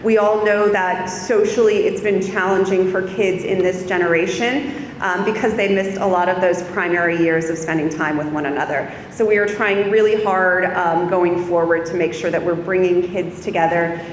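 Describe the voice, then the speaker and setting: A woman's voice: on the low side, fairly even intonation, moderate pace. Woman presenting in a very echoey hall. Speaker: parent-teacher organization chair. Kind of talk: informal informational presentation to an audience. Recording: very echoey hall, background noise.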